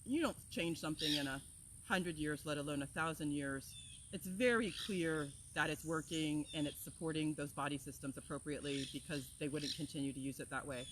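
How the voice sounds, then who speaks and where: A woman from Florida, an herbal teacher, talking in an energetic, passionate way as she opens an outdoor class. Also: low voice, fairly quick, noisy background. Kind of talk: casual talk to open an outdoor class. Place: outdoors among plants, with birds and insects.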